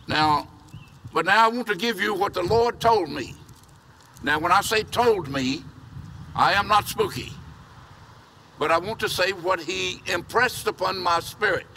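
An older man preaches from an outdoor podium with a bright, high-set voice. He is earnest and hammers home his key phrases. Vehicles hum faintly behind him. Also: poor audio. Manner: earnest, repeating phrases for emphasis. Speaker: pastor. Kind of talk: sermon. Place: outdoor church parking lot. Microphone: podium mic from a distance.

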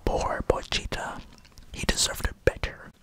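A man in his twenties whispering breathily in an ASMR style, very close to the microphone, deliberately listing and repeating words. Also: a bit bright. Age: 20s. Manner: slow, deliberate repetition of words. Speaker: ASMR video host. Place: indoor room in front of green screen. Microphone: large foam-covered microphone, very close.

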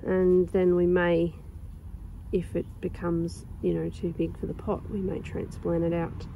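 A young woman with a croaky sore throat, chatting casually and rambling with lots of ums while filming her garden outdoors on a phone. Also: lowish voice, flat delivery, a little slow, lo-fi sound, slight background noise. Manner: rambling, chatty, with many ums and soft laughs. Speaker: gardening vlogger. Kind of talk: casual chat.

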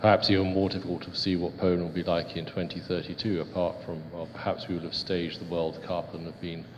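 Deep-voiced middle-aged man in echoey hall. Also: flat delivery, fast talker, highs cut off. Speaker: panelist. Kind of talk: unscripted panel remarks. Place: panel table in brick-walled indoor hall. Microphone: handheld microphone. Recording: echoey hall, faint noise.

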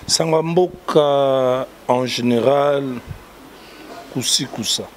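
A man's voice: mid-range voice, takes it slowly, a little hiss or hum. A man in his fifties from Kinshasa with a Congolese French accent, a pastor explaining at length in an interview in a church hall. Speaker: pastor and artist guest. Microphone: handheld mic held by host.

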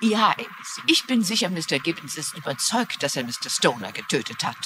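A woman's voice: low-pitched, big pitch swings, a little fast, noisy background. An actress playing a shrewd, polite older woman amateur sleuth in a German-dubbed TV mystery, precise and probing. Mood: firm, reasoning.

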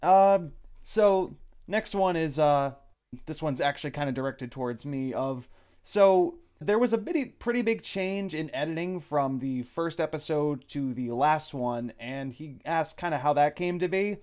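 A man hosts a casual cast Q and A. His voice sits a little high and moves with very lively intonation. He talks in an animated, jokey way. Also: measured pace, clean tone. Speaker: host and voice actor. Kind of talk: casual group Q and A chat.

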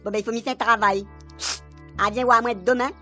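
A man's voice: very high-pitched, fairly even intonation, brisk, pushed and bright, soft background music, exaggerated voice. A man playing a crafty, grumbling village fortune-teller with a Réunionese accent in a radio comedy serial. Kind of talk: Creole radio comedy acting.

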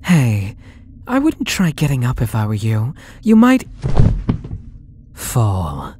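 A man's voice: mid-range voice, wildly swooping pitch, background noise. A young man playing a possessive, sweetly menacing male stalker in an ASMR roleplay audio drama, with a taunting, coaxing manner. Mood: mocking. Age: young adult. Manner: slow, taunting, coaxing delivery.

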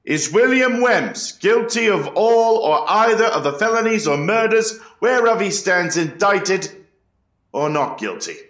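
Man as a high-pitched, ceremonial colonial court clerk. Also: sing-song, measured pace, fairly bright tone, exaggerated voice. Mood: formal. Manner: formal, ritual, declamatory. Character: formal eighteenth-century court clerk taking jury verdicts. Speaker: actor in a historical trial reenactment. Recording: echoey room.

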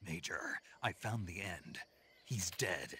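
A man playing a gruff, terse, battle-hardened soldier with a gravelly voice in a video game cutscene. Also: sits low, lively intonation, slow pace, pushed and bright, slight background noise, heavily acted. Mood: subdued, grave.

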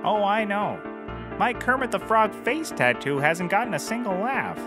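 A man's voice: high-pitched, expressive, normal speed, over loud music. A man playing a cocky, bumbling cheap private detective in a scripted comedy, rambling with theatrical flair. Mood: grandiose. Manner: hammy, rambling, theatrical delivery. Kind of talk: scripted audio comedy, noir detective parody.

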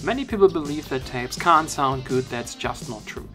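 A man's voice: medium pitch, animated, moderate pace, music in the background. A man narrating a tech review in a casual way.

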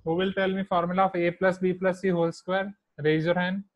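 A male maths teacher with an Indian accent runs an online class and spells out each term slowly and deliberately. His voice sits fairly high. The sound is dry and close, with no room echo. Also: flat delivery, highs cut off. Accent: Indian English. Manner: slow, deliberate dictation of terms while writing on a whiteboard.

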